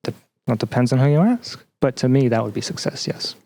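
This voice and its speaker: A man's voice: mid-range voice, expressive, fast, creaky, mellow. A man chatting casually as an interview guest, often hesitant and searching for words. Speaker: podcast guest, student representative.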